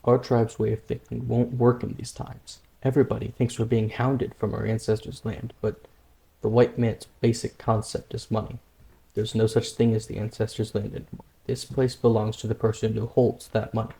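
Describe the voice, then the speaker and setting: An actor playing a calm, independent young tribesman, a stubborn younger brother, in a dramatic manga dub with an earnest, measured manner. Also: fairly low pitch, gravelly, low-quality recording. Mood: firm, earnest.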